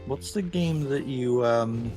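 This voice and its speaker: A man's voice: mid-range voice, slow, a little mellow, faint music behind. A man in casual conversation with a streamer, hesitant, restarting his sentences.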